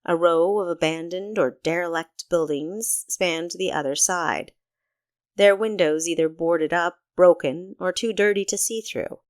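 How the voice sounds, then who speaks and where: A woman reading a story aloud as narrator in an expressive, scripted storytelling manner. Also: on the low side, unhurried, no echo. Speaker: author narrating own audiobook.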